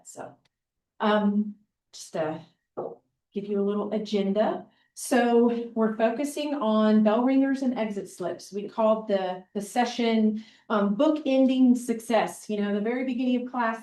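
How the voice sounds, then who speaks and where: A woman with a big voice presenting a teaching workshop as a librarian and facilitator, talking in a relaxed, conversational way with many ums. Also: mid-range voice. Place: meeting room or classroom. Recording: roomy echo, mic far off.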